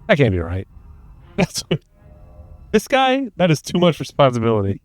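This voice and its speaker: A man's voice: fairly high pitch, wildly swooping pitch, moderate pace, no echo, faint music behind. A man giving casual, wry, joking commentary while playing a video game.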